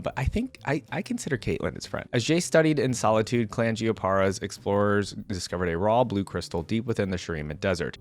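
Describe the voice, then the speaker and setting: A man reading a story aloud as a reaction creator, breaking into informal, casual commentary. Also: on the low side. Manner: informal, filler-heavy asides between read passages.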